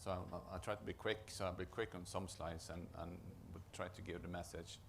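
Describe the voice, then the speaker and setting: A man with a low, gravelly voice and a Norwegian accent gives a conference presentation. He speaks haltingly, often stopping to restart and repeat words. His voice is deep and creaky throughout. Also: steady tone, a little hiss or hum. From Norway. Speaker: project manager presenting at a conference.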